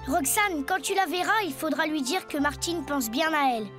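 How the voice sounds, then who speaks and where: A woman's voice: high-pitched, lively intonation, moderate pace. A woman voicing an earnest, kind school child in a French cartoon, with an accent from France. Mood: warm. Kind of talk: French animated series dialogue. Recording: music under the voice.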